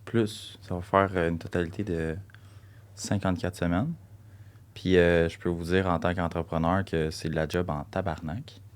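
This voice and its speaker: A man's voice: sits low, flat delivery, moderate pace. A young man with a Québécois accent co-hosting a podcast, blunt and joking, swearing freely as he talks about running a coaching business. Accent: Québécois French. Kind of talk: casual chat.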